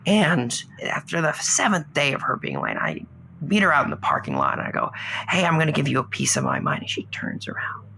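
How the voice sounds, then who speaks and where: A woman playing a lovestruck, rambling caller on a comedy radio advice show, hesitant and earnest, with a husky voice. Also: low voice, huge pitch swings, fairly quick, bright, forceful tone, a little hiss or hum, heavily acted, rough recording. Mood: smitten. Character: lovestruck, rambling radio call-in guest, newly divorced puppeteer. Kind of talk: improvised comedy call-in, conversational.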